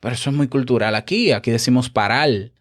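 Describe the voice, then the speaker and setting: A man with a Dominican Spanish accent talks straight to his listeners, teaching podcasting tips in a relaxed, chatty monologue. His voice sits at an ordinary middle pitch, and he keeps checking in with short tag questions as he moves from one tip to the next. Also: fast. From Dominican Republic. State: a bit out of breath. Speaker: podcast host.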